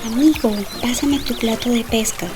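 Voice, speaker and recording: An actor voicing a patient village boy who instructs his friends calmly in an audio drama. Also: mid-range voice, moderate pace, background noise, lightly acted. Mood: calm, instructive. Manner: calm, instructing.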